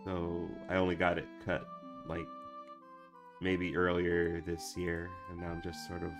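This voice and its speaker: A man with a low voice talks slowly and loosely, as if chatting with viewers between comments. He rambles, restarts and fills gaps with "uh" and "um." Music plays clearly in the background. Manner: rambling, relaxed, with many fillers and restarts. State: recovering from a week of sickness. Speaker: animator and channel producer. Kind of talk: casual livestream chat reading comments.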